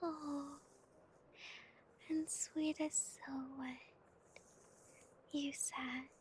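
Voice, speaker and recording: A woman whispering gently and softly as an ASMR streamer, giggling now and then and playing a cute spooky bat persona. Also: high-pitched, level tone, drawn-out words, a little hiss or hum. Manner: calls herself a bitty bat, spooky cutesy persona. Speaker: ASMR streamer reading chat.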